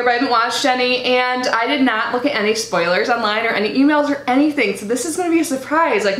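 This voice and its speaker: A woman's voice: high voice, fairly bright tone, roomy sound. A young woman chatting casually as she unboxes a subscription box, her voice nasal from allergies and full of "like" and "um.". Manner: chatty and bubbly, lots of likes and ums. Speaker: unboxing vlogger.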